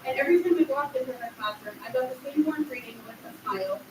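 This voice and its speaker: A woman's voice: sits high, lively intonation, quick pace. A woman in her thirties reading a sincere, emotional graduation speech from a podium. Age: 30s. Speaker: graduating adult student giving a speech. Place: large echoey hall at a graduation ceremony. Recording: echoey hall, distant mic, faint noise.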